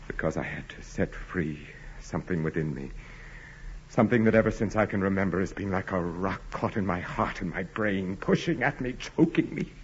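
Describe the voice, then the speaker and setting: Haughty, tormented aristocrat with husky voice. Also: male voice, low voice, expressive, moderate pace. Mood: bitter, tormented. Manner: haughty, formal, sardonic. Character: arrogant, tormented aristocratic landowner husband, coldly mocking. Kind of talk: 1940s radio acting. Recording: muffled vintage 1940s radio recording.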